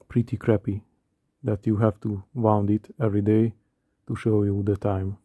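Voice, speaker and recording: A man in his thirties from Hungary with a Hungarian accent, calmly narrating a scripted voice-over about watches. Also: fairly low pitch, no room sound. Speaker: watch collector.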